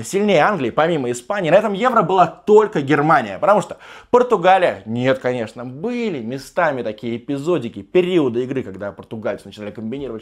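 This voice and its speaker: A man in his thirties talks football from a desk in a small room, his voice sitting a bit high and sounding rough and worn. He argues emphatically and slips into sarcastic, mock-gushing asides. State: strained, partly lost voice. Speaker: football show host. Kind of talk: animated football commentary monologue. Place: small room with sofa, indoors.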